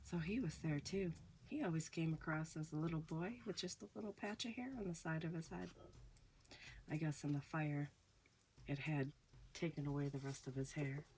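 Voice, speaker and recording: A woman hosting a ghost story show, telling a personal haunting story slowly with hushed pauses, her voice a little off from a recent illness. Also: low-pitched, a little hiss or hum, poor audio. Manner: slow, hushed, dramatic pauses. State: recovering from illness, voice sounds off. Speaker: storyteller host. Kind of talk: spoken ghost story narration.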